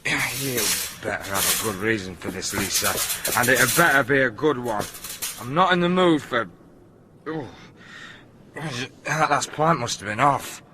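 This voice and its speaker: An actor playing a confused, whiny, complaining boyfriend in a naturalistic radio drama. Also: male voice, medium pitch, lively intonation, unhurried, bright, forceful tone, slight background noise. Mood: puzzled, irritated. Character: confused, grumbling, hungry boyfriend.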